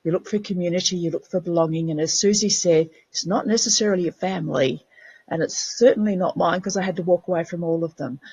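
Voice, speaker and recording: Casting: heartfelt woman guest, husky voice, close dry sound, quiet trailing endings. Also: on the low side, dry recording, highs cut off. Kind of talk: casual heartfelt sharing.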